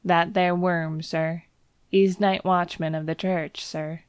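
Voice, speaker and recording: A woman's voice: fairly low pitch, flat delivery, takes it slowly, clear, resonant tone, no echo, studio quality. A woman voicing a young Cockney bellboy, a former soldier, who drops his h's and keeps saying sir. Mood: uneasy.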